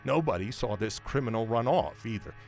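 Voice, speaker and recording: An actor playing a brusque, scornful police sergeant who lectures with dismissive authority in an audio drama. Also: male voice, mid-range voice, expressive, fairly quick, vocal fry. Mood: matter-of-fact, dismissive. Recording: music behind the voice.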